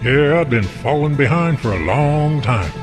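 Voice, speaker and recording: A man's voice: mid-range voice, lively intonation, measured pace, over music, lightly acted. A man acting a hearty, friendly workshop boss in an amateur radio drama, folksy and encouraging. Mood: busy.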